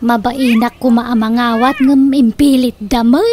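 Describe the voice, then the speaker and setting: A woman's voice: fairly high pitch, fairly even intonation, measured pace, mellow, slight background noise, close to an everyday voice. An actress playing a modest, good-natured village woman in an Ilocano comedy radio drama, speaking in an earnest, conversational way. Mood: bashful.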